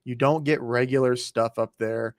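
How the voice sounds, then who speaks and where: A man's voice: medium pitch, steady tone, normal speed, dry recording, clean studio sound. A man co-hosting a podcast, explaining things in casual, colloquial talk. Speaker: podcast co-host. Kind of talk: casual chat, explaining news.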